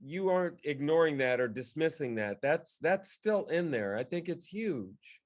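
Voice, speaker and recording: A man's voice: fairly high pitch, lively intonation, unhurried. A man co-hosting a podcast, chatting casually and effusively with enthusiasm. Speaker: podcast co-host, actor. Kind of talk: casual chat.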